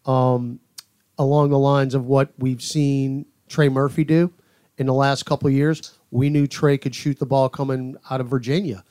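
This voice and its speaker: A man who works as a play-by-play announcer, giving conversational sports analysis in a studio with measured, paused phrasing. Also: medium pitch, little pitch movement, a little mellow, clear, resonant tone, dry recording. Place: podcast studio.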